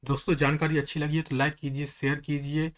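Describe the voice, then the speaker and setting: A man's voice: on the high side, little pitch movement, fairly quick. A man hosting an online news explainer, talking straight to viewers in an earnest, conversational way. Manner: earnest, repeatedly addresses viewers as friends.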